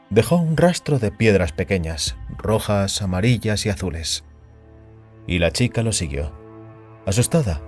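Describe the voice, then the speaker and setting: A man's voice: on the low side, darkish tone. A man reading a science fiction story as a scripted, dramatic audiobook narration. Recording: music under the voice. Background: background music.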